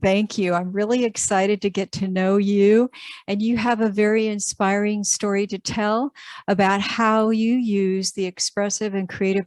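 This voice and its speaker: A woman hosts an interview at an average pitch, warmly encouraging her guest with gentle questions and affirmations. Her voice sounds close and dry, with little room around it. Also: no room sound. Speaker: interview host.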